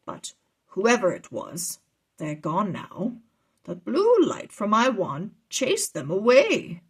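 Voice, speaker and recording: A woman voicing a calm, kindly male wizard mentor who explains things in a measured way for a storybook. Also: mid-range voice, husky, exaggerated voice. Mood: serious, reassuring.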